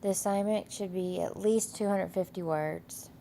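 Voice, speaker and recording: A woman's voice: mid-range voice, fairly even intonation, moderate pace, mellow, a little hiss or hum. A woman instructor with a cold and a stuffy nose walks through a course week in a casual, rambling way, full of fillers and restarts. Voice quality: gravelly. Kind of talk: casual screen-share course walkthrough.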